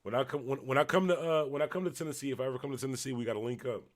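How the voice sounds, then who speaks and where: A man's voice: medium pitch, racing. Tipsy, rambling man with a Buffalo accent. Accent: Western New York. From Buffalo, New York. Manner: loose and rambling, trailing off, shouting out viewers. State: a little tipsy. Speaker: livestream host. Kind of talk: casual livestream chat reading comments.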